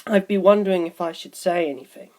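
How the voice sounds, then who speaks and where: A woman voicing a candid, probing man in an audiobook, with a deliberate, matter-of-fact delivery. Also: lowish voice, darkish tone, close to an everyday voice. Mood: grave, puzzled. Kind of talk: audiobook character dialogue.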